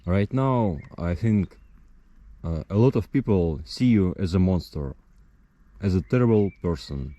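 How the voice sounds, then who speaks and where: A man's voice: sits low, expressive, measured pace, warm tone, no echo. A man, hosting an interview in broken English and asking pointed questions. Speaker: interviewer and channel host. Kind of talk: casual interview.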